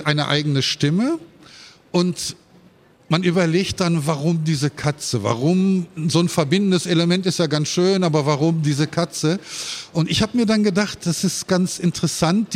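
Higher-voiced male panel moderator. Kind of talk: panel interview.

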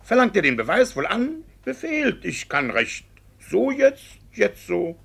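A shifty village judge in a classical radio play. His voice is bright and forceful with a gravelly, husky grain, swinging widely in pitch as he stammers, evades and blusters pompously. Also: huge pitch swings, unhurried, full character voice. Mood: obsequious, nervous. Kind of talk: classical verse radio drama.

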